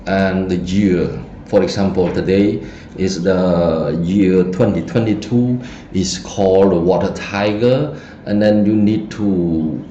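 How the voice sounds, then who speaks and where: Slow, deep-voiced man with a Cambodian accent. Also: mellow. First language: Khmer. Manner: halting, many fillers and restarts. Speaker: feng shui teacher. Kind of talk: online presentation lesson. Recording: dull muffled recording, roomy echo, faint noise.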